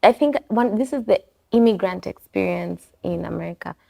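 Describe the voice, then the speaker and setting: A woman's voice: medium pitch, animated, moderate pace, creaky, warm tone. A woman, a bookstore owner being interviewed in her shop, talking in a reflective, rambling way that wanders and corrects itself. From Kenya. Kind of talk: casual interview conversation. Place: her bookstore and coffee bar.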